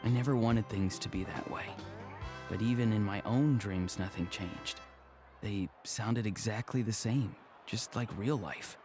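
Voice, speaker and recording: An actor playing a soft-spoken, thoughtful young male shaman in an anime game. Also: lowish voice, unhurried, music in the background, natural voice. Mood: wistful.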